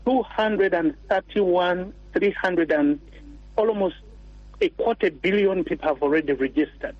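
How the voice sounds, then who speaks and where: Earnest Ugandan priest on radio. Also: male voice, high voice, no room sound. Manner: earnest, warm and heartfelt, with pauses and restarts. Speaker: priest guest. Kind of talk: radio interview. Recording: dull, dry radio studio sound.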